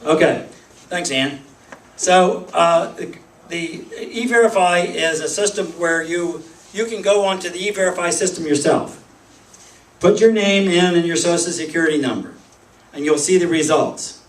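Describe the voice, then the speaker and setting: A man's voice: a little high, moderate pace. A man giving an informal talk to a meeting, with many restarts and emphatic lists. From Pennsylvania, United States. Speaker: speaker at a group meeting. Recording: echoey room, voice from a distance.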